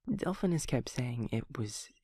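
A man's voice: medium pitch, expressive, slow pace, warm tone, no echo, studio quality. A young man acting a sweet, scatterbrained, childlike empathy daemon in an intimate audio roleplay, stammering and repeating words. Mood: happy, proud.

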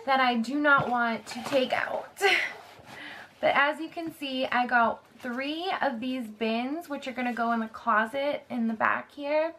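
A young woman in her twenties chatting casually in a bedroom haul vlog, rambling with lots of fillers, her voice slightly hoarse. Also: a little high, fairly bright tone, slight background noise. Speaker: vlogger. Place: bedroom, indoors.

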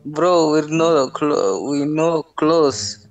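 A man's voice: on the high side, fairly even intonation, drawn-out words, soft background music, rough recording. A man chatting casually as a caller on a voice chat app.

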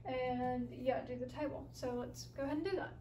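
A woman's voice: high voice, fairly even intonation, normal speed, mellow, far from the mic. Young woman chatting in a clean-with-me vlog. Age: young adult. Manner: chatty, lots of ums and so yeah. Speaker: vlogger. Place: small house rooms, indoors. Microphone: camera on a stand, from across the room.

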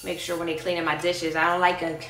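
A woman's voice: on the low side, level tone, quick pace. An American woman in her thirties giving casual, playful, chatty unboxing commentary in a living room, recorded from across the room. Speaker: vlogger. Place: carpeted living room indoors. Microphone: camera a few meters away. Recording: distant echoey room, loud clattering handling noise.

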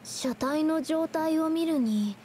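An actress playing a polite, timid young woman artist doing detective work in an anime game, speaking softly and hesitantly. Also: high-pitched, slow, slight background noise. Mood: thoughtful, explaining. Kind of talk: Japanese anime game voice acting. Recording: music behind the voice.

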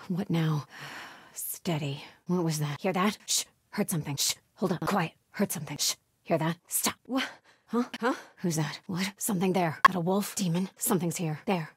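A woman's voice: fairly low pitch, husky, dry recording, studio quality. An actress playing a grim, devout, alert female cult soldier in a video game, speaking in short clipped callouts. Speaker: video game enemy character. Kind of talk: video game combat voice lines.

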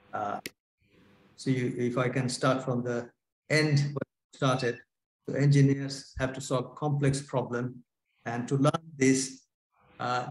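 A man chairing an online academic panel over a video call, hesitant with many filler sounds. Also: mid-range voice. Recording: echoey room on a video call.

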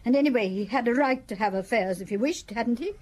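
A woman playing a prim, defiant housekeeper of seventy-one in a radio drama, husky, curt and guarded. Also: on the high side, fast talker, a little mellow, lightly acted. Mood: prickly, guarded.

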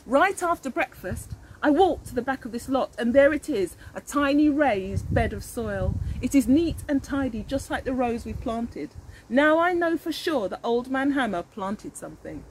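A middle-aged woman reads a children's picture book aloud in an expressive teacher's manner. Her voice is fairly high and swoops with lively intonation as she addresses the children. She is recorded outdoors in a garden with faint background noise. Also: normal speed, rough recording. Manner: animated, addressing children with questions. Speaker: early years teacher.

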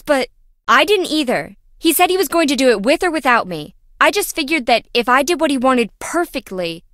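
An actress playing a quirky, excitable young lab engineer in a video game, chatty, blunt and wry. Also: female voice, sits high, dry recording, clean studio sound. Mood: defensive, upset. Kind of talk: video game character voice acting.

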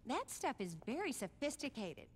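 Chirpy sweet housewife in a game, very sing-song, big echoey hall. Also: female voice, sits high, sing-song, normal speed. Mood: cheery. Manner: chirpy, chatty, homely. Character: perky, sweet suburban mother who cooks drugs. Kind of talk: video game cutscene acting. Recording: reverberant hall, faint background noise.

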